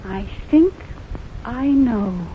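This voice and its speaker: An actress playing a suspicious, excitable young granddaughter in 1940s radio drama style, urgent and insistent, on an old radio recording. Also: female voice, fairly high pitch, drawn-out words, narrow, tinny audio, slight background noise. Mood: alarmed, urgent.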